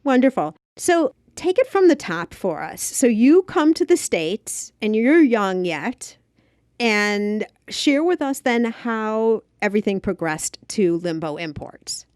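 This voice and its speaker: A woman's voice: medium pitch, very animated, slow, a little mellow, no room sound, clean studio sound. A woman hosting a conversational podcast interview in a chatty, affirming, casually self-deprecating way. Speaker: podcast host.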